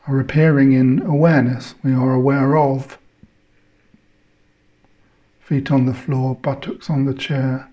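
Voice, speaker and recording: A man leading a guided meditation in measured short phrases, leaving long pauses between them. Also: medium pitch, flat delivery, mellow, dull audio.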